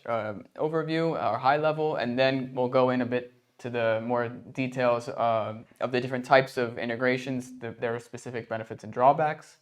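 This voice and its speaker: A man from the United States with an American accent, hosting an informal webinar in a conversational, hesitant way. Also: mid-range voice. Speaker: webinar host.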